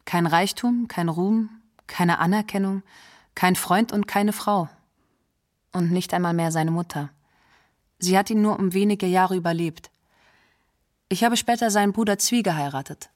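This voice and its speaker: A woman's voice: lowish voice, measured pace, long pauses, lightly acted. An actress playing a resigned, sorrowful woman recalling a doomed singer in a radio play monologue. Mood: resigned, sad.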